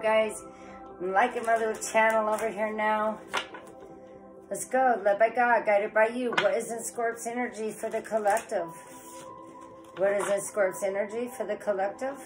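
A woman's voice: mid-range voice, poor audio. A woman in her forties with a head cold, chatting casually to camera as she reads tarot cards, rambling and calling her viewers "guys". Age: 40s. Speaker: tarot reader. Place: living room with electric fireplace, indoors.

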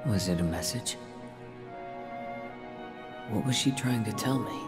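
A young street tough from a video game, played by a man, low in pitch. He is cool and guarded, matter-of-fact with quiet resolve, over a clearly audible musical score. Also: a little slow, loud music behind the voice, lightly acted. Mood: subdued. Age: young adult. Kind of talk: dramatic video game acting.

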